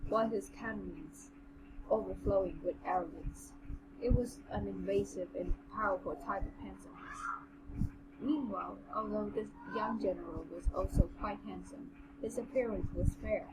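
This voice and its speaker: A woman co-hosting an amateur novel reading, moving between narration and casual commentary. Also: mid-range voice. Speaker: co-host reading a novel aloud. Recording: clearly noisy amateur home recording.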